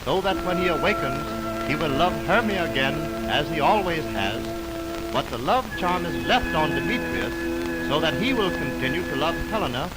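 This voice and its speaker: A male narrator from 1920s radio tells a story in formal, theatrical phrases. His voice is fairly high and very melodic, with loud music behind it on an old broadcast recording. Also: lively intonation, moderate pace, rough recording.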